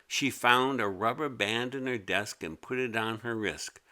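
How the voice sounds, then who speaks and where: A man narrating a children's story as a read-aloud, expressive and deliberate. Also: medium pitch.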